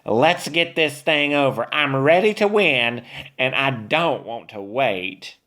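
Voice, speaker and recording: A man's voice: medium pitch, animated, slow pace, sharp tone, pitched above the performer's own voice. A man voicing a cackling, gloating old witch with a snorting, taunting manner in a children's storybook. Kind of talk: children's storybook read-aloud.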